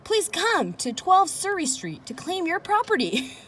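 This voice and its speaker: A woman's voice: very high-pitched, sing-song, a little slow, a bit bright, slight background noise. A woman reading a children's chapter book aloud, speaking directly to young listeners in a chatty way. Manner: engaging young listeners with questions and commentary. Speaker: read-aloud host.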